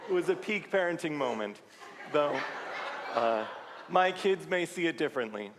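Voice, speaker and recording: A man leading a church service, giving a sermon-style reflection to the congregation in an earnest, measured way. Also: a little high, expressive, background noise. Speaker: service leader. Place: large church sanctuary. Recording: long reverb of a large church hall.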